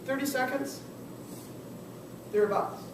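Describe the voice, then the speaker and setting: A woman teaching a small business class, hoarse from losing her voice, chatting and tossing questions to the room. She is heard from far off in a very echoey classroom with clear background noise. Her voice sits at an ordinary pitch. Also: takes it slowly. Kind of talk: classroom workshop instruction. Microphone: far camera.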